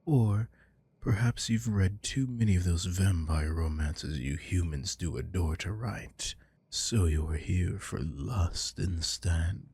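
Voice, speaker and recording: A man voicing a predatory, dominant vampire lord in an ASMR audio roleplay, taunting slowly and often whispering close to the microphone. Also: darkish tone, full character voice. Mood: mocking. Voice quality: deep, gravelly, breathy.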